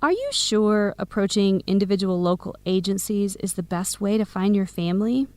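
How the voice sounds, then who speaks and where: Animated, frank young woman, played by an actress. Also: mid-range voice, moderate pace, no echo, lightly acted. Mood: doubtful, probing. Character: Samira, practical, frank young woman advising her friend. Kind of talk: radio drama acting for English learners.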